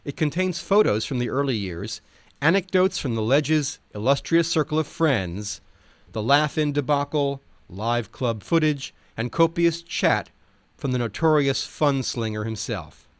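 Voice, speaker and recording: A man reading scripted nonfiction in a wry, expressive storytelling manner. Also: mid-range voice, unhurried, no echo. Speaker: audiobook reader. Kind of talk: scripted nonfiction narration with acted quotes.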